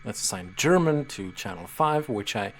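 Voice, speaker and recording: Patient man explaining software. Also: lowish voice, lively intonation, normal speed. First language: Czech. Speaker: software tutorial presenter. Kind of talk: screen-reader software walkthrough.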